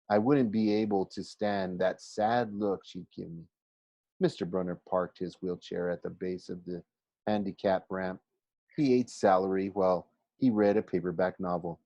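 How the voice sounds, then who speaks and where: A man reading a children's novel aloud like a teacher to a class, expressive but halting, often going back over phrases. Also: lowish voice, no room sound. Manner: halting, stumbles and rereads phrases.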